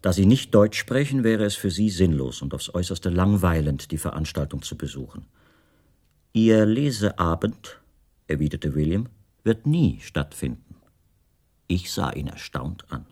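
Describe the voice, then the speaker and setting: A middle-aged writer telling his own story in a radio play. The voice is low, and the delivery is measured and formal. Each detail is set out precisely, as in literary prose. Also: animated, a little mellow, husky, heavily acted. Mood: measured, recollecting. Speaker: first-person narrator of a radio play.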